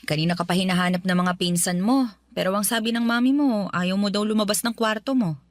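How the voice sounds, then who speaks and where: An actress playing a gentle, concerned middle-aged aunt who goes to church, in a radio drama. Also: female voice, mid-range voice, brisk, dry recording, lightly acted.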